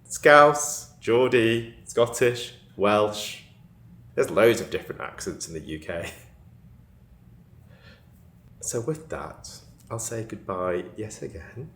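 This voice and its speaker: A man with a northern Cheshire accent chatting casually to camera in a vlog, laughing often and sounding out his T's crisply. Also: lowish voice, takes it slowly, pauses often, fairly bright tone, reverberant, slight background noise. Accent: northern Cheshire English. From England. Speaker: vlogger.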